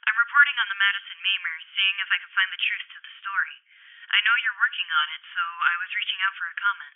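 An actress playing a persistent young college journalist on a telephone line in a radio drama, polite but pushy. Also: female voice, mid-range voice, fast. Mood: polite, hopeful. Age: young adult. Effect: telephone filter. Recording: muffled phone-call sound with faint music.

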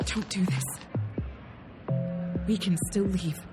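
A woman's voice: sits high, animated, a little slow, over loud music. An actress playing an urgent, protective avian woman in a science fiction audio drama, pleading insistently. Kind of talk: sci-fi audio drama acting.

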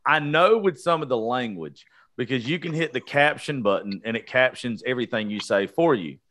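A man hosts a casual chat show. His voice sits at a middle pitch. He talks bluntly and jokingly, with plenty of swearing thrown in. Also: animated, normal speed, fairly bright tone. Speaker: show host.

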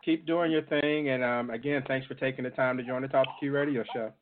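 A man's voice: medium pitch, steady tone, fast talker. A man hosting a radio podcast interview in a casual, joking way. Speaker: radio show and podcast host. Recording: dull audio with faint noise and clicks.

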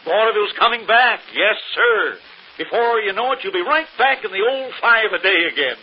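Through the hiss of a muffled 1930s radio recording comes a middle-aged vaudeville trouper. He is proud, theatrical and excitable, with a high voice that swings widely. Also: moderate pace, breathy edge. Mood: boastful, indignant. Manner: theatrical, boastful. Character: proud, excitable, faded vaudeville quick-change artist.

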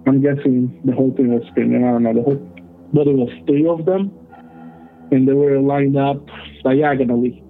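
A man from El Salvador phones in to share a story, his voice squeezed through a narrow, tinny phone line. He talks haltingly, stopping often with ums and uhs, with faint music under the call. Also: medium pitch, steady tone. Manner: halting, many fillers. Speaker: caller. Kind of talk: telling a personal story on a call-in show.

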